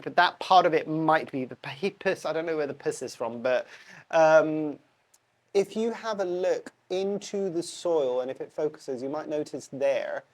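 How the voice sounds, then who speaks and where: Low-voiced stuffy woman chatting about plants. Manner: chatty, rambling, self-correcting with laughs. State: stuffy, bunged up from a cold. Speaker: houseplant channel host. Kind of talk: casual chat to camera. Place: indoor plant room at home.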